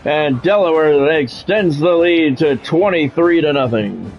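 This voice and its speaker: A man with a slightly high voice commentates a sports game for a live stream. He moves between clipped announcer-style calls and casual chat with viewers. The sound is a little muffled, with faint music. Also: measured pace.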